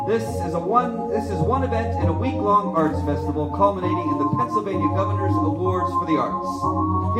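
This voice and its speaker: Loud music behind, echoey theater, high animated male voice, stage host, announcing. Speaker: host of a performing arts variety show.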